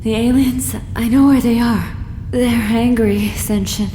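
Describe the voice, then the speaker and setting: An actress as a shaken, urgent woman in an audio drama. Her halting voice is fairly high, set in a roomy echo with clear rumbling noise behind. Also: steady tone, warm tone, natural voice. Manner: halting, urgent, repeating herself. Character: shaken, urgent woman reporting a frightening psychic discovery.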